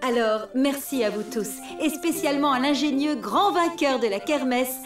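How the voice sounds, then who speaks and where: A woman's voice: high voice, moderate pace, echoey room, recorded from a distance, soft background music, lightly acted. A woman voicing a cheerful cartoon school fête announcer, formal and emphatic, building suspense. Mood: proud, suspenseful. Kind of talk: ceremonial announcement in a French cartoon.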